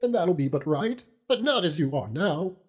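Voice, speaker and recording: A humble old country widow, acted by a male audiobook reader, speaking high and husky. She pleads tenderly in rustic dialect, blaming herself and offering comfort, with a voice that swings up and down. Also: sing-song, moderate pace. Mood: tearful, tender pleading. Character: grieving, self-reproaching old widow woman, humble and tender. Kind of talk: dramatised audiobook character reading.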